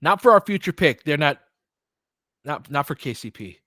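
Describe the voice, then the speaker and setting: A man's voice: on the high side, animated, normal speed, bright, forceful tone, no room sound, studio quality. A man doing informal basketball play-by-play as a host, chatting conversationally and thinking aloud. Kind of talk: casual basketball commentary mixed with chat replies.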